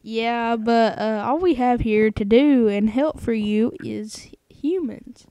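A woman with a fairly high, very soft-edged and mellow voice speaks casually. She is hesitant and placating, like a caller playing at customer service. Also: slow pace, smooth. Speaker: prank caller.

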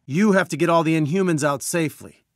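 An actor playing an earnest scientist who leads a superhero resistance, giving conversational mission briefings in a video game. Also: male voice, fairly high pitch, fast, no room sound, studio quality, close to an everyday voice. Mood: focused. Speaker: mission support over comms.

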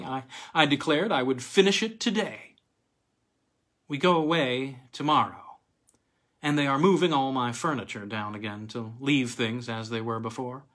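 A middle-aged man reads a literary tale aloud. His voice is bright and forceful, with a husky edge. The delivery is dramatic and halting, leaning on emphatic pauses. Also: mid-range voice. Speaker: reader of weird tales. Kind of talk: expressive literary audiobook-style story reading. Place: small home room with bookcase, indoors.

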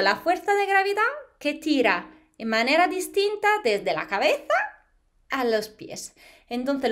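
A high, animated woman's voice with an Italian accent, explaining science to students with plenty of expression. She is a researcher in her thirties, speaking in a small plain room to a camera a few feet off. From Italy. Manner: expressive, lively, gestures while explaining. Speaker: postdoctoral astrophysics researcher. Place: small plain indoor room against a wall. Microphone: camera a few feet away, head to waist framing.

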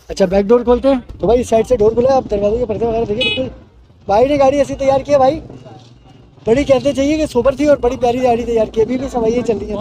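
A young man from Pakistan, walking through an outdoor car market and chatting into a handheld mic as he shows off a car. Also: very high-pitched. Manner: chatty, repeats "bhai" and "okay". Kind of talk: walkthrough commentary. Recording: dull lo-fi sound.

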